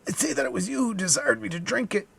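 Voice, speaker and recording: A man voicing a dying, poisoned soldier who accuses bitterly in strained, drawn-out, anguished outbursts, in an audiobook story. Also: very high-pitched, animated, gravelly, a bit bright, husky, voice pushed up, heavily acted. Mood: bitter, accusing.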